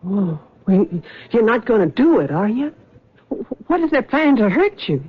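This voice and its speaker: An anxious, pleading wife. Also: female voice, on the high side, big pitch swings, measured pace, slightly rough, full character voice. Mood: worried. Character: worried, fearful wife of a cab driver. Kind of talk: 1970s radio drama acting. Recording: thin phone-like old radio broadcast.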